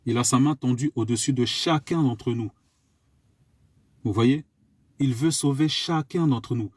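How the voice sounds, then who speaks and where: A man's voice: medium pitch, a little mellow, slightly rough, no room sound. A man from France preaching to his listeners, repeating pointed questions and coughing at times. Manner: exhorting, repeats rhetorical questions. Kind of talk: live online sermon.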